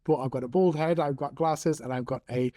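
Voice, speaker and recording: A man in his forties, raised in Hong Kong, speaking as an interview guest in a measured, thoughtful way with frequent ums. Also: a little high, mellow, no echo, studio quality. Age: 40s. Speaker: interview guest, storytelling coach. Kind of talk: conversational interview.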